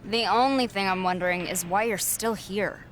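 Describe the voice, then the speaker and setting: A woman's voice: medium pitch, moderate pace, fairly bright tone, a little hiss or hum, natural voice. An actress playing a cocky, defiant young female wrestling champion in a video game, speaking bluntly and confidently. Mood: dismissive. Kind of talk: scripted video game cutscene acting.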